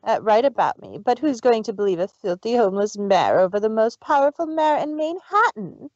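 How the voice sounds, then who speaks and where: A woman's voice: on the high side, expressive, fairly quick, a little mellow, no echo, lightly acted. Lady doing a smug evil big sister pony, condescending and theatrical, story reading, kinda muffled dry mic. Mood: taunting. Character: arrogant, cruel, scheming big-sister mare villain. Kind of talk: dramatic fan fiction reading.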